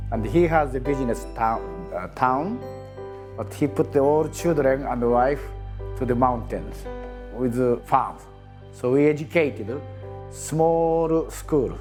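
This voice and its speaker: A man's voice: mid-range voice, darkish tone. A man speaking broken, non-native English in a documentary interview, restarting words as he remembers.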